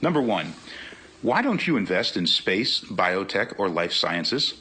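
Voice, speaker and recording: A man's voice: low-pitched, big pitch swings, unhurried, pushed and bright, husky. A man reading questions aloud from a book as a guest reader. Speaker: guest reader, nonprofit executive.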